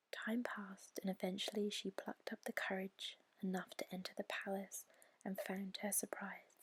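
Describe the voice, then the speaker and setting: A woman whispers a fairy tale as ASMR. Her voice is extremely breathy, with almost no full tone. She reads softly and soothingly, keeping the pitch very even and unhurried. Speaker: storyteller, ASMR channel host. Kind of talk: whispered ASMR bedtime story reading.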